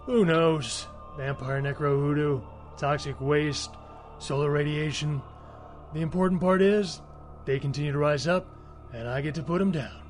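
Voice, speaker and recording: An actor playing a cocky, trigger-happy cemetery caretaker in a video game, with a laid-back, slangy, wisecracking manner. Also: male voice, on the high side, moderate pace, natural voice. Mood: cocky relish. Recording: game music behind the voice.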